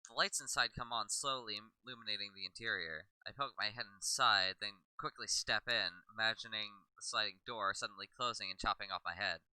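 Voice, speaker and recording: A man's voice: fairly low pitch, fairly quick, hardly any pauses, sharp tone, clean tone, no echo, poor audio. A man reading first-person narration aloud from a visual novel, sometimes stumbling and rereading a line.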